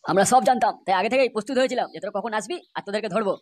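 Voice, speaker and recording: A performer voicing an excitable, threatening, foul-mouthed tough character in a comedy cartoon. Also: medium pitch, racing, very noisy, lightly acted. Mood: gloating, scolding. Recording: loud music under the voice.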